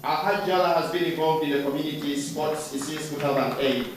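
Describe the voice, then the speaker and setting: A man's voice: on the high side, steady tone, brisk, distant mic, background noise. A man acting as master of ceremonies, formally and courteously presenting awards over a microphone in a function room. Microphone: handheld microphone, picked up from the room. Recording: very echoey room, off the PA.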